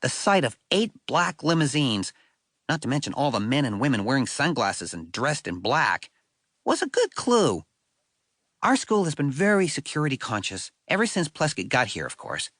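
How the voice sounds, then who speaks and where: Wry schoolboy storyteller, played by a man, animated. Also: medium pitch, normal speed, dry recording, studio quality. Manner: wry, conversational, expressive. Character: wry, self-deprecating sixth-grade human boy narrator. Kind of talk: first-person audiobook storytelling.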